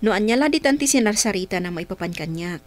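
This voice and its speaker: Young wife, played by an actress, Ilocano accent, polite and earnest radio drama, animated mid-pitched voice, music behind. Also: female voice, fast, lightly acted. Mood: hurt, defensive. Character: polite, respectful young married woman, hurt by gossip.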